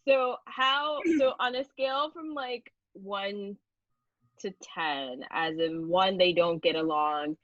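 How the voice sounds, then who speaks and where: A woman interviewer talks in an informal, playful way with a mid-pitched voice. The audio is dry and a little dull, lacking some crispness, as she chats and puts her questions. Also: takes it slowly, a bit bright, no room sound. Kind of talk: casual interview chat.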